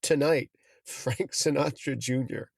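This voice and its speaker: A man chatting casually, telling anecdotes in an animated way and acting out what people said, with music over his voice. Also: medium pitch, takes it slowly, creaky, no echo, clean studio sound. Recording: guitar music laid over voice.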